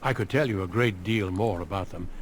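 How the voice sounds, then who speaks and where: An actor playing a courteous, solemn middle-aged veteran warrior in a video game, speaking in a formal, measured way. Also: male voice, low-pitched, crowd noise, natural voice. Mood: earnest, measured. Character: courteous, solemn veteran Grey Warden recruiter, a man. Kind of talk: video game dialogue acting.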